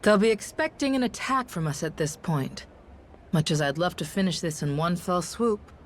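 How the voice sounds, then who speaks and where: An actor playing a confident, brash pirate ally who plans the attack, in a video game cutscene. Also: male voice, sits high, a little hiss or hum.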